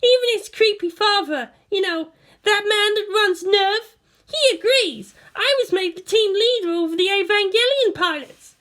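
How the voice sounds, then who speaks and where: A woman's voice: very high-pitched, moderate pace, a bit bright, pitched above the performer's own voice. A woman voicing a proud, hot-tempered teenage girl pilot who rants with snarling scorn in an audiobook-style story reading. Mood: furious, indignant. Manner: snarling, indignant ranting.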